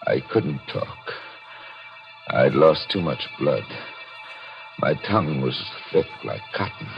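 Casting: mortally wounded narrator, deep voice with dramatic swings, halting, tormented, 1940s radio thriller. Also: male voice, animated, vocal fry, dull audio, heavily acted. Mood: frantic, weak. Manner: melodramatic, halting. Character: anguished dying gunshot victim recounting his own murder. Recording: old radio broadcast with organ music.

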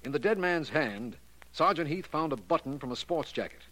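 An actor playing an earnest, matter-of-fact district attorney with clipped delivery, in a 1940s radio crime drama style. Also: male voice, a little high, expressive, normal speed, fairly bright tone, slight background noise, low-quality recording. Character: earnest, official male district attorney, easily corrected.

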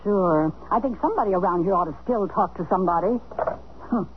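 Wry housekeeper played by an actress, muffled old radio. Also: female voice, medium pitch, a little fast, close to an everyday voice. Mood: dry, pointed. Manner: deadpan sarcastic answers. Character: wry, droll female housekeeper of a separated couple. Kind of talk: 1970s radio comedy-drama acting. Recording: muffled, noisy 1970s radio broadcast.

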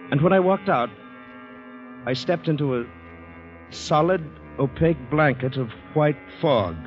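A man's voice: mid-range voice, close to an everyday voice. An actor playing an anxious ex-soldier in a 1940s radio drama, brooding and hesitant as he talks himself through panic. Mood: tense storytelling. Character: anxious ex-soldier fighting down panic, recounting his ordeal. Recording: dull old radio recording with music.

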